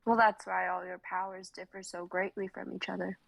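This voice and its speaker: A woman's voice: mid-range voice, level tone, brisk, creaky, fairly bright tone, no echo, low-quality recording. A woman playing a terse, guarded guide. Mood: matter-of-fact. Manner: terse, matter-of-fact.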